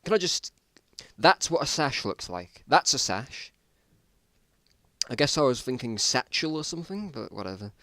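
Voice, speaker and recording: A man's voice: mid-range voice, very animated, moderate pace, pauses often, fairly bright tone, no echo. A man reading a story aloud in a mock-dramatic style, amused and breaking off into mocking asides. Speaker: reader of own old story.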